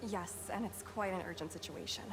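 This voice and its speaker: An actress playing a precise, polished scientist. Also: female voice, fairly low pitch, fairly even intonation, brisk, background noise, lightly acted. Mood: explanatory. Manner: clear, explanatory, professional. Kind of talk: video game cutscene acting.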